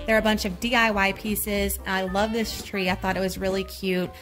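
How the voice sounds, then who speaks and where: A woman's voice: mid-range voice, level tone, normal speed, fairly bright tone, faint music behind. A woman with a Southern US accent, chatting casually through a shopping vlog, upbeat as she points out items and prices, recorded on a handheld phone in a big store. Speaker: shopping vlogger. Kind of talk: casual shop-with-me narration. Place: large retail store, indoors. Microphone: handheld phone camera.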